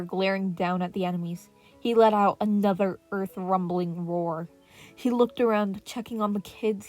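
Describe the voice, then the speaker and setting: High-voiced woman narrating over music. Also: darkish tone. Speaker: podfic narrator. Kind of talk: scripted fan-fiction narration. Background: relaxing piano and birdsong music.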